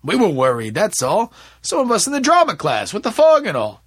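A man's voice: sits high, huge pitch swings, brisk, voice pushed up, exaggerated voice. A man voicing a stern, defensive man in his twenties in an audiobook, brusque and suspicious in manner.

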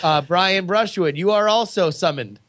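A man's voice: high voice, moderate pace, a bit bright. A man hosting a podcast in a casual, conversational chat. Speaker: podcast host.

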